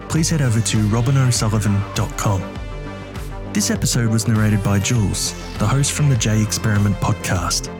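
A man reading scripted podcast credits as the show's announcer. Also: on the low side.

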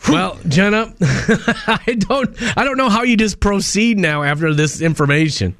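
A man's voice: high-pitched, lively intonation, measured pace, fairly bright tone, no room sound, studio quality. A man hosting a radio show with casual banter, reacting in a slangy, exclamatory way.

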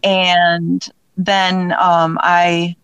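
A woman speaking as an interview guest in casual conversation, thinking aloud in a halting way. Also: on the low side, completely flat delivery, a bit bright, smooth, no room sound, lo-fi sound. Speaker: guest, audio drama creator.

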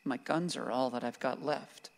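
An actor playing a confused, grieving grown son, hesitant and plaintive, in an emotional radio drama. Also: male voice, mid-range voice, normal speed, vocal fry, natural voice. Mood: confused, sad. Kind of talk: emotional stage-style radio drama acting. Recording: reverberant hall-like room.

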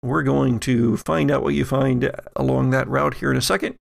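A man narrating a tabletop roleplaying game as game master in a halting, deliberate way. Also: mid-range voice, steady tone, gravelly.

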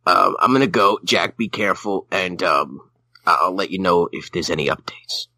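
A man doing a gravelly, frantically stammering worrier. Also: low voice, fairly even intonation, normal speed, sharp tone, dry recording, heavily acted. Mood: flustered, worried. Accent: Australian. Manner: heavy stammering, repeating words. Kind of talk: improvised comedy skit on a podcast.

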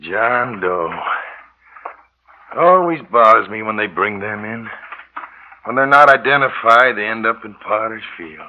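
Folksy night morgue man, lowish voice, plain-spoken, muffled vintage radio with clear noise. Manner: folksy, musing. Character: plain-spoken, superstitious male morgue attendant. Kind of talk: 1940s radio acting. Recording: muffled, noisy old radio recording.

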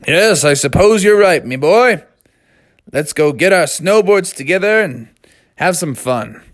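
A folksy father frog from a children's storybook, put on by a man. He is easygoing and playful, with an unhurried pace and very varied pitch. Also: expressive, dry recording, studio quality. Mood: agreeable.

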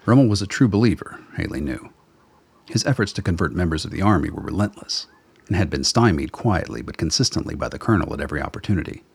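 A man narrates a scripted audio drama. His voice is exceptionally deep with a husky rasp, telling the story in the third person. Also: expressive, quick pace, dry recording.

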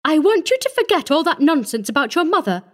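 A woman's voice: sits high, sing-song, quick pace, voice pushed up. A woman voicing an anxious, commanding stepmother, dramatic and emphatic, in a story reading. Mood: sharp, commanding.

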